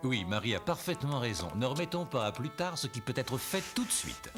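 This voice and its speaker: A man's voice: medium pitch, big pitch swings, fairly quick, natural voice. A man voicing a genial, ceremonious father in a French-dubbed cartoon, speaking expressively. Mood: agreeable. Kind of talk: French-dubbed animated film acting. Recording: prominent music behind the dialogue. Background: film score.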